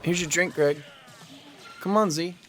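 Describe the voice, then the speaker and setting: A man's voice: on the high side, unhurried. A man playing a laid-back, welcoming young game-night host in an audio drama. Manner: casual, relaxed hospitality. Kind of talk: naturalistic audio drama acting. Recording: music behind the voice.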